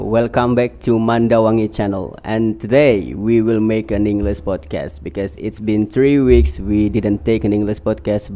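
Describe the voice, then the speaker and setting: A man's voice: on the low side, darkish tone. A man with an Indonesian accent hosting a podcast and casually interviewing a guest. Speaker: podcast host.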